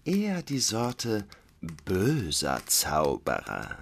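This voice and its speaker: A man's voice: on the low side, huge pitch swings, slow, creaky, slight background noise, heavily acted. A man playing a smug, sly evil wizard in a fairytale radio play, with a theatrical, teasing manner. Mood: smug, menacing.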